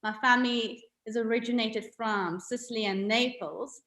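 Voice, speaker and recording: A woman's voice: fairly high pitch, moderate pace. Emphatic middle-aged woman, echoey room. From South Africa. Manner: animated, emphatic storytelling. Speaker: podcast guest, health coach. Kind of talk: casual interview chat. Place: home room with a mirror. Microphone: video call.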